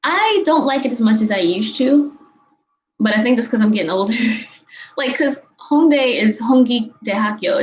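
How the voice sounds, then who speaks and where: A woman's voice: mid-range voice, slight background noise, low-quality recording. A woman, chatting casually as she answers viewer questions from her bedroom on a webcam, giggling often and using many fillers. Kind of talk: casual chat. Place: bedroom, indoors. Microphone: webcam at desk distance.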